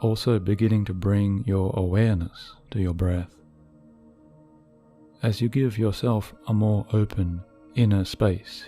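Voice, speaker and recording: A man narrating a scripted hypnotic guided meditation in a soothing, measured, deliberate manner. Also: on the low side. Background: soft background music.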